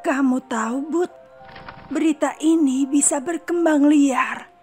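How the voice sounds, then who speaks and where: A woman voicing a calm, self-assured psychic in a horror cartoon, speaking in a measured, often hushed way. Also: high voice, soft background music. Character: calm female psychic, middle-aged, sure of herself. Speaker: paranormal.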